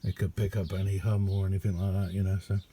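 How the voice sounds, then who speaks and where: Middle-aged man, soft dark tone, casual halting hobbyist demo, faint background noise. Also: sits low, flat delivery. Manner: unhurried, halting, colloquial. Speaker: radio hobbyist showing a homemade receiver. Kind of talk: casual hands-on demonstration vlog. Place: indoor hobby radio room.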